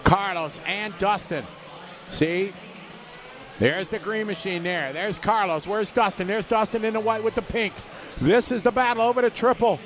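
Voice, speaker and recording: A man calling a radio-controlled car race live, giving quick play-by-play and reading out viewer messages. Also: sits high, noisy background. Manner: rapid play-by-play, reads viewer chat aloud. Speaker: race commentator.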